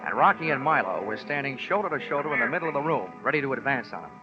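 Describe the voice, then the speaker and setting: A wry, wisecracking investigator played by a male actor recounts his case in dry detective-serial style. His mid-pitched voice comes over a muffled old radio broadcast with prominent music. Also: sing-song, racing, lightly acted. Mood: wry narration. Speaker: lead and narrator of a radio drama. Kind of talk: 1950s radio detective narration.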